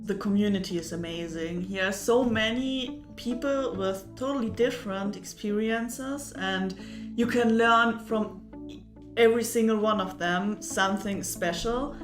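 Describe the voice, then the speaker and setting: A woman, an indie game developer, speaking in slow, deliberate phrases. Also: medium pitch. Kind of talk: interview answers. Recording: echoey room with faint music.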